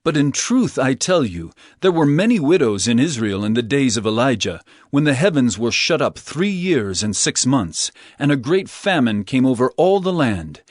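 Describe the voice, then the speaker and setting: Solemn preacher, played by a man: authoritative, declamatory, wide pitch movement, dry close sound. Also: big pitch swings, no echo. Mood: solemn, earnest. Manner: declamatory, measured, earnest. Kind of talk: dramatized scripture reading.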